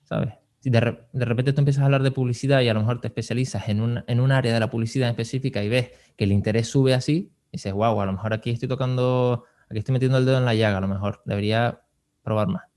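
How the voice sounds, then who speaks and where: A man's voice: mid-range voice, steady tone, fast, a little mellow. A man speaking as a podcast host and advisor, explaining things in an informal, conversational way. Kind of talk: casual conversational advice.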